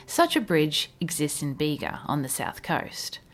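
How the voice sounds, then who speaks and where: Low-pitched Australian woman narrator. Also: wildly swooping pitch, measured pace. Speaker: history video narrator. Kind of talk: scripted documentary storytelling narration. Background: background music.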